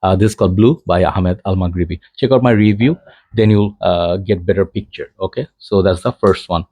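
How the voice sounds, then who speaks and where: A man's voice: sits low, brisk, darkish tone, breathy edge, dry recording. A man from Bangladesh giving a rambling, chatty fragrance review to his channel, filling gaps with "you know" and laughing between points. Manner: frequent fillers. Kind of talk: casual chat to camera. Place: home in Dhaka.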